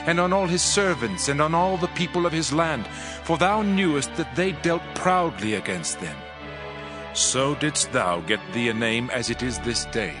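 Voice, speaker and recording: Actor as solemn Levite, slightly high voice. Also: male voice, lightly acted. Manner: earnest, declamatory. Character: solemn male Levite recounting God's deeds in prayer. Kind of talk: dramatized scripture reading, prayer. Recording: music bed under the voice.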